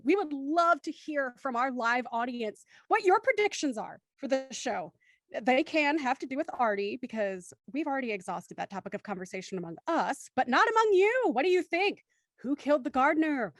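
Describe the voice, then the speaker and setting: A woman's voice: high voice, sing-song, normal speed, no echo. A woman hosting a live audio show and reading scripted narration, addressing her listeners directly and in an organised way. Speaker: host and narrator.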